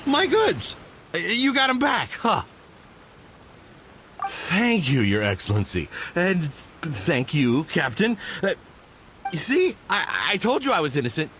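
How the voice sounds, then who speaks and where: A man's voice: sits high, huge pitch swings, slow, long pauses, fairly bright tone, a little hiss or hum, exaggerated voice. A man voicing a nervous, fawning male merchant in a video game, stammering his thanks. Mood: relieved. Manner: stammering, obsequious. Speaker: game character.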